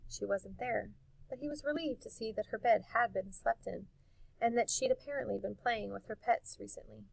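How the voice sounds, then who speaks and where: A woman reading a storybook aloud in an expressive narrating style. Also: on the high side, moderate pace, gravelly, no echo, rough recording. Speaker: audiobook reader.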